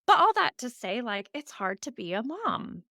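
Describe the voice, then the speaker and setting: A woman podcast host talks in a lively, swooping way, with a gravelly edge on her lower notes. Her speech is casual and warm, full of filler words, and she sounds close to the mic in a dry room. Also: normal speed, no echo. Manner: warm, empathetic, chatty with many "like"s. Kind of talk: casual interview chat.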